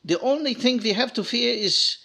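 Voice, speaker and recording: Jolly, boastful comic radio chatterbox; bright, sharp, very animated delivery. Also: male voice, very high voice, moderate pace, vocal fry, full character voice. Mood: proudly boastful. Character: excitable, chatty, jolly comic man passing out flyers. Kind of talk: old-time radio comedy acting.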